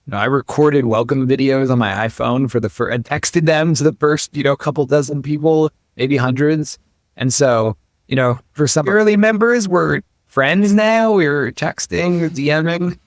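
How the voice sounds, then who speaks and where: A man's voice: a little high, normal speed, no room sound. Man explaining in a dry, close recording. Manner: emphatic, often says "like" and "you know". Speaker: company founder and chief executive, interview guest. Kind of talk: conversational interview answers, explaining.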